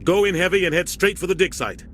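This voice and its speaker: A man's voice: sits high, quick pace, lightly acted. A man acting a stern, authoritative starship captain in a video game, giving clipped orders over vehicle noise. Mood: firm, urgent. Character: stern commanding older military starship captain.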